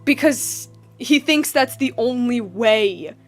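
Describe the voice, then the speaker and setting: A woman streaming a video game with casual, slangy, excitable commentary. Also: on the high side, slow pace. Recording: music behind the voice. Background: game music.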